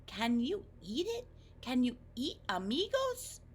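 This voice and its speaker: A woman voicing Nibble, a greedy, silly young moth who eats clothes, sounding gleeful and drawn-out over food. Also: high-pitched, huge pitch swings, a little hiss or hum, voice pushed up, heavily acted.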